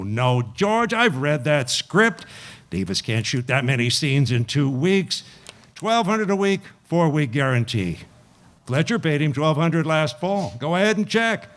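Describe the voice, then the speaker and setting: A man's voice: a little high, fairly bright tone. A man acting an evasive, deal-making Hollywood talent agent in a 1950s-style radio play, with a folksy, hesitant way of talking. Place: live radio play performance at an event.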